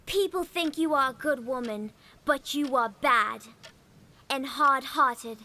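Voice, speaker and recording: A woman's voice: high voice, slow pace, pushed and bright, exaggerated voice. A woman playing a passionate, outspoken young orphan girl in an audio drama. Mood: angry, defiant. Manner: vehement, outspoken.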